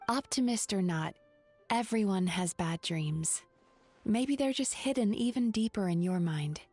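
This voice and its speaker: A composed young woman therapist from an anime game. Her medium-pitched voice is measured, caring and articulate with a wry edge, recorded dry over faint music. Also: animated, dry recording. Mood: thoughtful, caring. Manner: measured, articulate, gently reassuring. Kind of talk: English anime-style game voice acting.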